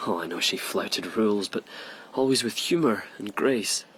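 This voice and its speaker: A man's voice: fairly low pitch, moderate pace, fairly bright tone, a little hiss or hum, lightly acted, rough recording. An actor in a radio drama playing a proud nobleman of the old Japanese court, formal and questioning in manner. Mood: admiring, concerned.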